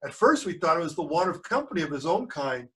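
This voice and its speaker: An older man reading a storybook aloud as a grandfather would, pausing for chatty asides and questions to young listeners. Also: fairly high pitch, big pitch swings, fairly bright tone, recorded from a distance. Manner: pauses to quiz the listeners.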